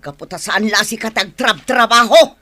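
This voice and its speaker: A woman's voice: a little high, normal speed, noisy background, close to an everyday voice. A woman playing an embittered, scolding wife and mother in a radio drama, combative and nagging. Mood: bitter, scolding. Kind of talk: Ilocano radio drama acting.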